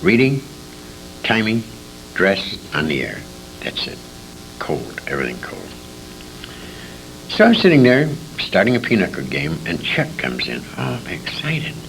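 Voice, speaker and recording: Deep gravelly older American man, animated storyteller. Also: a little slow, long pauses. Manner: emphatic storyteller, acts out quoted dialogue, repeats words for emphasis. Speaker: interviewee, veteran radio performer recalling his career. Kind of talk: animated anecdotal reminiscing. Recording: noisy 1980s convention interview tape, rumble.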